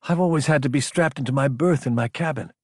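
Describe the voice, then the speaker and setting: An eager young female pilot in a sci-fi audiobook, acted out by a male narrator. The voice is slightly husky and very dry, with an insistent, wondering manner. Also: mid-range voice, fast talker, no echo, pitched above the performer's own voice. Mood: wistful. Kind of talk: audiobook character dialogue.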